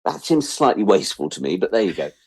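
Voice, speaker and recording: A man's voice: deep voice, fast talker, husky, no echo. A man in his sixties with an English accent, telling anecdotes in a casual interview, self-deprecating and acting out what people said. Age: 60s. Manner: animated storyteller, self-deprecating, acts out quoted remarks. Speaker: interview guest, writer and editor.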